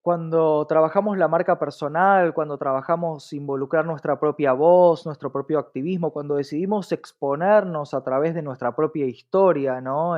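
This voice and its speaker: Higher-pitched Latin American man, dull recording. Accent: Latin American Spanish. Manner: frequent rhetorical check-in tags. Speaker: diversity consultant, interview guest. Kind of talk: explanatory interview talk. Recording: dull, lo-fi sound.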